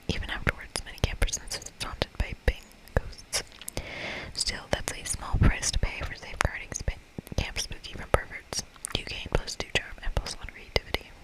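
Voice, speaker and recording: ASMR game narrator, entirely whispered delivery, breathy and unvoiced. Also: rough recording. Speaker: ASMR video game player and host.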